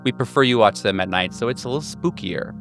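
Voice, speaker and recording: A man's voice: mid-range voice, fast talker, faint music behind. A man hosting and narrating a horror tabletop roleplaying game as game master.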